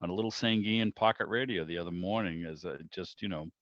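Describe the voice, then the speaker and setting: A middle-aged man hosting a club meeting by video call, chatting casually and telling rambling, animated anecdotes over lo-fi audio. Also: low-pitched, fast talker, no room sound. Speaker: club meeting host, interviewer.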